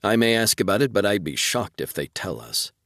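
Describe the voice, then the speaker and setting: A man's voice: on the low side, big pitch swings, a little fast, fairly bright tone, no echo, clean studio sound. A man voicing a wry, weary male space fleet officer in a sci-fi audiobook. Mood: measured. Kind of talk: audiobook character dialogue.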